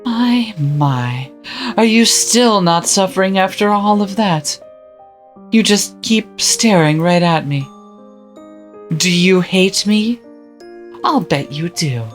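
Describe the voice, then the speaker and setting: A woman's voice: mid-range voice, animated, slow, long pauses. A woman voicing a cruel, cackling witch who mocks and taunts, with faint music behind. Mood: taunting. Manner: mocking, taunting, frequent cackling laughter. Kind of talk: visual novel voice acting.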